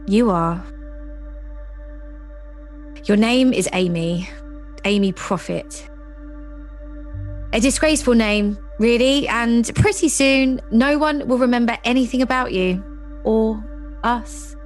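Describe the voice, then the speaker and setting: An actress playing a wry, ambitious young advertising professional with a sarcastic edge in an audio drama. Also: female voice, fairly high pitch, slow pace, pauses often, soft background music. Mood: remorseful, grave. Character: sardonic, ambitious young career woman in advertising.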